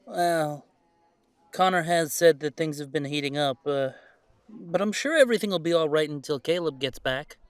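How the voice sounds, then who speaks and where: A man's voice: fairly high pitch, moderate pace, smooth, dry recording, natural voice. Man acting anxious, hesitant good-natured guy. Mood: uneasy. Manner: hesitant, exasperated. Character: Chester, anxious, good-natured man intimidated by his disapproving father. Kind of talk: audio roleplay drama acting.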